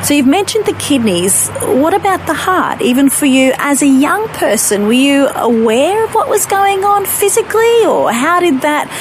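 A woman interviewer asking gentle, probing questions and paying compliments. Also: sits high, very animated, moderate pace, few pauses, noisy background.

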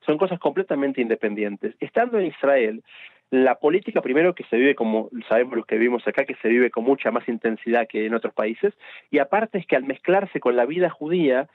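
A man's voice: mid-range voice, animated, a little fast, no echo. Argentine man explaining, slightly dull lo-fi sound. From Buenos Aires, Argentina. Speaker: interview guest, rabbi and journalist. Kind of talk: explanatory radio interview answers. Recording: dull, lo-fi radio line.

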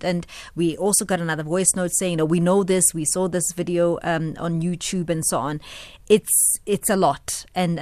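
Female talk-radio host, low and dry, steady and attentive. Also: no room sound. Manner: calm and attentive, guiding callers. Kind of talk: radio talk show hosting.

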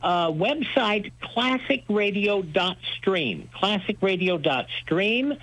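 A man's voice: sits high, animated, takes it slowly, fairly bright tone, rough recording. A man talking enthusiastically as a radio host being interviewed, with an emphatic, folksy storytelling manner. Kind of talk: enthusiastic interview talk about old-time radio.

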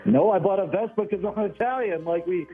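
A man defends himself on a radio call-in, proud and insistent, dragging out his emphasis. His voice is husky and sits a little high for a man. The line sounds muffled and dull. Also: brisk, faint music behind. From United States. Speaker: radio show caller. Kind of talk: defensive phone-in chat.

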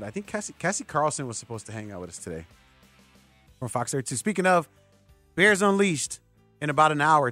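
A man's voice: on the high side, fairly bright tone. A man hosting a sports radio show in a casual, conversational, loosely rambling way, close on a studio microphone. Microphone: close broadcast microphone.

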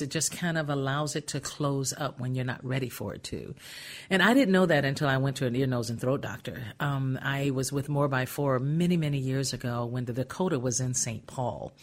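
Animated woman telling stories. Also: rumbling low, moderate pace. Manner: animated storyteller. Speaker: singer and co-host. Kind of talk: casual chat.

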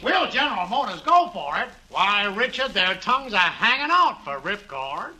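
A man's voice: high voice, big pitch swings, moderate pace, bright, forceful tone, full character voice. A man playing a cocky small-time schemer in 1940s radio comedy, with slangy, pushy sales patter. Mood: eager, confident. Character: brash small-time male schemer, fast-talking and cocky. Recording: noisy 1940s radio recording.

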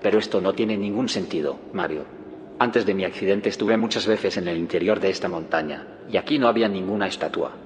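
A man's voice: sits low, brisk, close to an everyday voice. An actor playing a weary, questioning male scientist back from a long absence, earnest and disbelieving, in an audio drama. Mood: bewildered. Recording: reverberant cave with noisy ambience.

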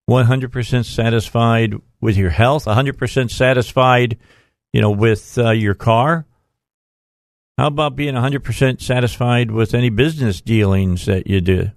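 A man's voice: medium pitch, normal speed, dry recording, clean studio sound. A man hosting a radio talk show in a conversational style.

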